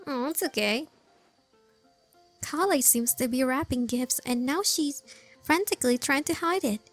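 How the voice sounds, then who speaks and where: A woman's voice: high voice, a little slow, faint music behind. A woman giving casual gameplay commentary, hesitant and musing aloud as she goes. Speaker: game player and commentator.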